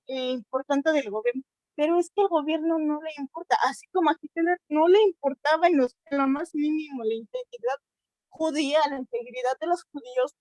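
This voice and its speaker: A teenage girl from Mexico speaks with a Mexican accent at a youth colloquium. Her voice sits high, and the sound is dry and close, with no room around it. She talks earnestly, stops to hunt for words, restarts her sentences and asks herself questions. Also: dry recording, rough recording. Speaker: essay contest participant. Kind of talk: unscripted talk at a youth colloquium.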